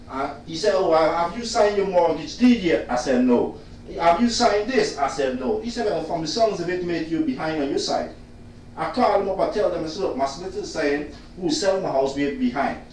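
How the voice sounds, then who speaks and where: Loud, insistent man, high voice. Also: very echoey, recorded from a distance, a little hiss or hum, poor audio. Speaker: client complaining about his solicitor. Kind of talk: casual venting monologue.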